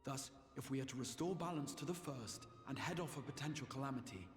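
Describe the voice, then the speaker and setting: A man voicing a solemn lord who commands a city's forces, speaking in a measured, formal way. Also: medium pitch, lightly acted. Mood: grave. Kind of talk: video game voice acting. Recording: reverberant game audio with music.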